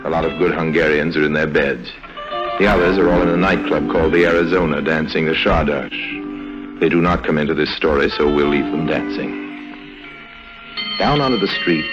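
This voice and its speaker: An actor playing a wry, worldly, self-assured con artist in old radio drama, telling the tale with unhurried irony. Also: male voice, extremely low pitch, poor audio. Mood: dryly amused. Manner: wry, ironic, unhurried storytelling. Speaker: lead actor and narrator. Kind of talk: 1950s radio drama narration and dialogue. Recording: old radio recording with music behind.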